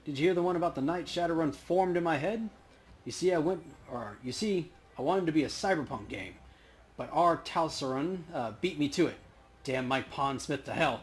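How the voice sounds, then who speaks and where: A man's voice: on the high side, moderate pace, close to an everyday voice. A man playing the ghost of an old veteran storyteller in a video game, rambling and wry. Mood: reminiscing.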